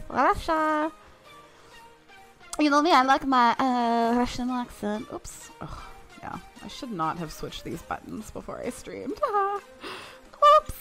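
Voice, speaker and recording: A woman's voice: fairly high pitch, a bit bright. A young woman streaming a mobile shooter, chatting casually with viewers and laughing often. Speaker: game streamer. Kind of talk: casual live-stream chat while playing.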